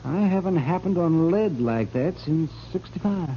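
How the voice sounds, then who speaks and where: Muffled, noisy old radio audio carries a garrulous older western doctor. His voice sits fairly high and swoops about. He stammers, hesitates, then turns emphatic. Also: lively intonation, moderate pace. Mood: wry, insistent. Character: flustered, garrulous older frontier town doctor. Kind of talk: 1950s radio western acting.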